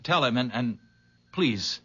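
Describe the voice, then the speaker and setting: A man's voice: mid-range voice, lively intonation, slow, fairly bright tone, slightly rough, heavily acted. An actor playing a nervous, stammering young man who is awed, in a 1970s radio drama. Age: young adult. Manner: stammering, hesitant.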